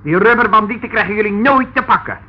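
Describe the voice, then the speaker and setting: A woman's voice: mid-range voice, quick pace, slightly rough, lightly acted. An actress playing a brash, scornful woman under police questioning in a radio drama. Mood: scornful, defiant. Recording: tinny, band-limited old radio recording.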